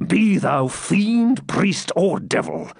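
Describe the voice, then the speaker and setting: A man's voice: mid-range voice, sing-song, measured pace, vocal fry, breathy edge, no room sound, clean studio sound, voice pushed up, heavily acted. A man voicing a fierce, stubborn dying baron who growls out defiant speeches in an audiobook.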